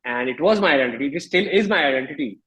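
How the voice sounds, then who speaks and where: A man in his thirties with an Indian accent, speaking candidly and reflectively as an interview guest, often halting and rephrasing his thoughts. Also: a little high, expressive, a bit bright, slightly rough, poor audio. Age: 30s.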